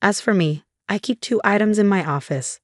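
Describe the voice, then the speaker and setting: A woman narrating a first-person story in an even, measured reading voice. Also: mid-range voice, dry recording, clean studio sound.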